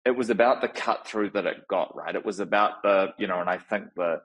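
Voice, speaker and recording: A man from New Zealand with a clear New Zealand accent speaks in a fairly low voice. He's a middle-aged founder answering podcast questions, unhurried and reflective, often circling back and restarting as he thinks aloud. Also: fairly bright tone. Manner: thoughtful, many fillers and restarts. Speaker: founder and CEO, guest. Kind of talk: conversational interview.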